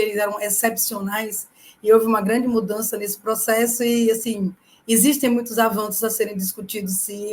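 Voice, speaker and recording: A woman's voice: medium pitch, a little hiss or hum, poor audio. A woman with a Bahian Brazilian accent speaking informally as a guest on a panel. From Salvador, Bahia, Brazil. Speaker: panel guest, occupational therapist. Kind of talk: informal self-introduction on a panel.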